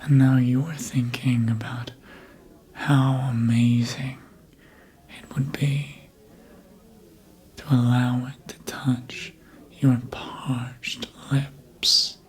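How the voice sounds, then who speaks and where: A performer voicing a sly, seductive, manipulative vampire in a breathy ASMR whisper, coaxing slowly and deliberately as if hypnotizing. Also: mid-range voice, little pitch movement. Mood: hypnotic coaxing.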